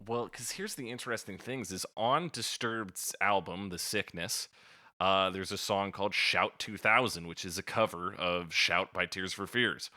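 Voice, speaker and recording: A man's voice: low-pitched, moderate pace, sharp tone, no echo, clean studio sound. A man co-hosting a podcast, chatting casually about music in a wry, joking way. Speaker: podcast co-host.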